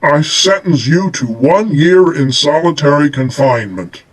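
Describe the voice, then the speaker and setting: A man's voice: on the high side, expressive, measured pace, low-quality recording. A man voicing a wise, stern male ogre who judges others in a storybook reading.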